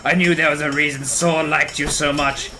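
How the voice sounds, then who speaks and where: A man's voice: a little high, little pitch movement, normal speed, noisy background, natural voice. A man playing a wary, haggling scrapyard trader in an audio drama, drawing out his words with exclamatory delivery. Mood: won over. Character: gruff-dealing male rebel scrapyard trader, wary haggler.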